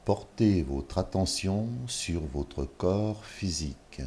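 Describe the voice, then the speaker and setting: Very deep, slow-speaking man guiding meditation. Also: darkish tone, a little hiss or hum. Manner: measured, instructional, with long pauses between short phrases. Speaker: yoga nidra guide. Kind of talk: guided relaxation meditation.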